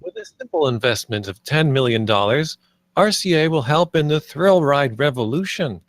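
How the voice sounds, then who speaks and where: A man's voice: fairly high pitch, very animated, moderate pace, no echo. Man playing an eager, nervous designer, dry close sound. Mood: nervous salesmanship. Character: eager, nervous 27-year-old theme park designer pitching ideas. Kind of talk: script table read.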